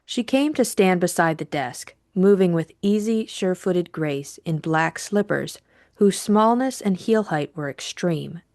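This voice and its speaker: Casting: female audiobook narrator, lowish pitch, measured scripted delivery, dry studio-like sound. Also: animated, darkish tone, no echo.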